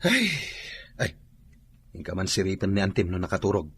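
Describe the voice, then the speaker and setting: A man's voice: sits low, sing-song, moderate pace, fairly bright tone, breathy edge, full character voice. A man with an Ilocano accent playing a sheepish, scheming drinker in a radio comedy drama. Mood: exasperated. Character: scheming, sheepish drinker always short of cash.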